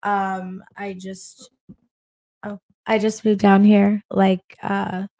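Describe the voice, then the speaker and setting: A woman's voice: medium pitch, level tone, slow, warm tone. Upbeat woman in her twenties. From Florida. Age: 20s. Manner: upbeat, filler-heavy. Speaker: guest panelist. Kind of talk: casual chat.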